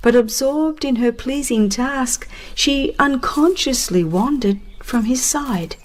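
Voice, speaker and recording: A woman narrating a scripted religious audiobook in a solemn, deliberate, dramatized way with expressive phrasing. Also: high voice.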